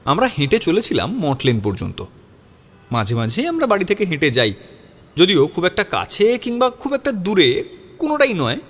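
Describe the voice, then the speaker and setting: A man's voice: sits high, wildly swooping pitch, moderate pace, fairly bright tone, big-room sound. A man narrating a scripted audio story in the first person, dramatising it as he goes.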